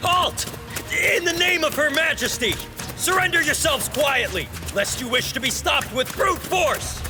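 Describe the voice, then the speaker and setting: An actor playing an imperious cavalry captain in a fantasy audio drama, giving haughty, formal commands. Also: male voice, very high-pitched, lively intonation, a little slow, over music. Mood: commanding. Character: imperious male cavalry captain hunting fugitives.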